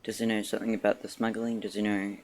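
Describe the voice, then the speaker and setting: A woman giving casual, low-key live gameplay commentary as a streamer, muttering as she plays. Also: level tone, fast, noisy background. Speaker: game streamer. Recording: game music behind voice.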